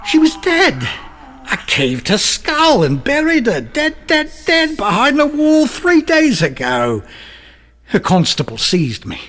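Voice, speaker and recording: An actor playing a guilt-ridden, drug-addled London murderer giving a halting, theatrical confession in a radio drama. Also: male voice, extremely high pitch, wildly swooping pitch, fairly bright tone, exaggerated voice. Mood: frantic, hysterical.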